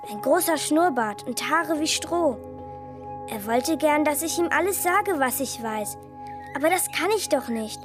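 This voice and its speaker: Imaginative, confiding little girl voiced by a female performer, high childlike voice, radio play. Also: animated, unhurried, over music. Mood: secretive, confiding. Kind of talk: radio drama dialogue.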